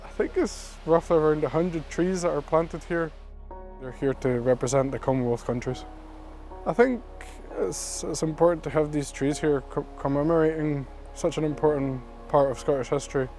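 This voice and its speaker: A man's voice: a little high, moderate pace, mellow. A young man in his twenties who grew up in Mexico, a filmmaker telling his grandfather's story in an earnest, reflective way. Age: 20s. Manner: earnest, reflective, with some fillers. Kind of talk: documentary narration. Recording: roomy with music underneath.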